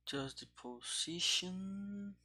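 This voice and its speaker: A man with a bright, forward voice talks slowly through his programming work. He thinks aloud in short, halting phrases, like a casual coding stream. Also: high-pitched, expressive. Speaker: programmer streaming game development. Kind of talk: casual live coding commentary.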